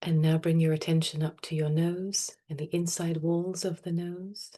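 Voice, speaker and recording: A woman meditation teacher with a low, mellow voice leads a guided practice. Her delivery is soft and soothing, staying very even in pitch, with long, unhurried pauses between instructions.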